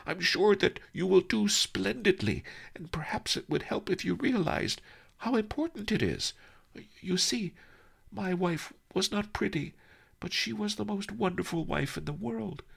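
A man doing a high, fluttery, excitable old doctor. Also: measured pace, creaky, husky, heavily acted. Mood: fervent. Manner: high fluttery voice, bursts into shouting. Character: eccentric gentle male doctor, excitable.

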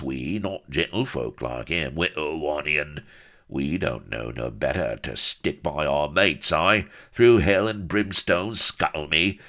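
A man's voice: deep voice, sharp tone, heavily acted. A man voicing a burly, childish pirate who blusters. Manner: bellowing, rustic, aggrieved.

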